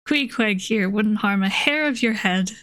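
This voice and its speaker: A woman's voice: mid-range voice, a little slow, fairly bright tone, close to an everyday voice. Woman reading as an easygoing, folksy innkeeper. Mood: amused, reassuring. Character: grinning, easygoing male innkeeper with folksy speech.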